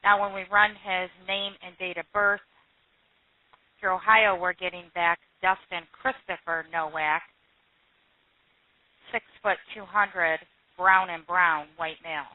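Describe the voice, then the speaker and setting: A woman's voice: lowish voice, fairly even intonation, takes it slowly, pauses often, no room sound. A woman working as a police dispatcher, talking in terse, businesslike radio procedure. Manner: clipped, businesslike. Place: dispatch room, recorded over phone and radio lines. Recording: narrow muffled phone and radio line.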